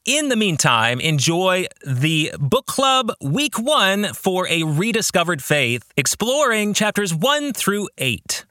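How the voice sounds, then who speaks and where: A man's voice: high-pitched, big pitch swings, takes it slowly, hardly any pauses, bright, forceful tone, no room sound. A man in his forties hosting a podcast as an author, speaking in an emphatic, conversational monologue. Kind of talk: podcast monologue introducing a book club.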